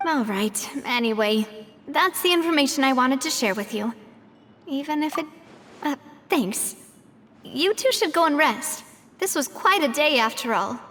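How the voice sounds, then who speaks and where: An actress playing a poised young woman leader in a video game, wry and determined, with a measured delivery. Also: high voice, expressive, a bit bright, long reverb tail, slight background noise. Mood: grieving, guarded.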